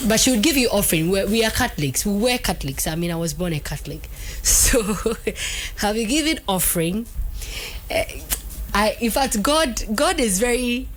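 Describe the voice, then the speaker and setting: Ghanaian lady hosting a radio interview, casual chatty, medium pitch, bit of background noise. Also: animated, moderate pace.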